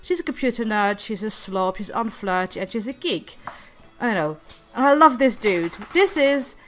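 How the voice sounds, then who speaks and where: A woman's voice: on the high side, lively intonation, moderate pace, a bit bright. A woman chatting casually about her game, slightly nasal and recovering from laryngitis, laughing often and stumbling over repeated words. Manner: hesitant, repeats words and stalls. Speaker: gaming video creator. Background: faint background music.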